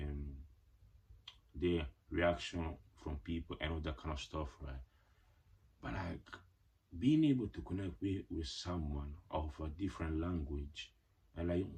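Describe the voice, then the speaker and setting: A man's voice: low voice, creaky, darkish tone, breathy edge. A young man telling a casual personal story on his channel, rambling with lots of filler words and playful slangy asides. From Ghanaian parents. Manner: rambling, heavy "like" and "you know" fillers. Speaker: vlogger.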